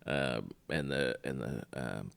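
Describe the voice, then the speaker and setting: A man co-hosting a podcast in casual, chatty talk, his voice slightly shot and hoarse. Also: a bass voice, takes it slowly, fairly bright tone. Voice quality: slightly worn, tired-sounding voice. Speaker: podcast co-host.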